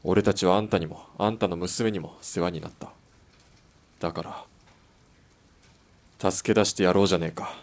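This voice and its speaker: An actor playing a steady, perceptive hired sniper in a radio drama, with blunt, rough masculine speech. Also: male voice, sits low, moderate pace, long pauses. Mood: firm. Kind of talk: Japanese radio drama acting.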